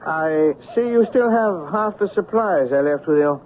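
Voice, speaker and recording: An actor playing an imperious, cynical colonial company director with a pompous, condescending manner in a 1970s radio drama. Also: male voice, fairly high pitch, expressive, a little slow, narrow, tinny audio. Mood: sly, pleased. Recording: thin, faintly noisy old radio broadcast.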